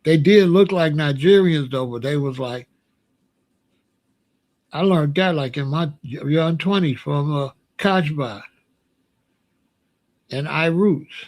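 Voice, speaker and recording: A man with a somewhat high voice runs his own opinion show. He speaks bluntly in loose street slang and keeps firing rhetorical questions. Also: slow pace, long pauses, a little mellow, poor audio. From Chicago. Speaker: show host. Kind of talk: casual opinion monologue.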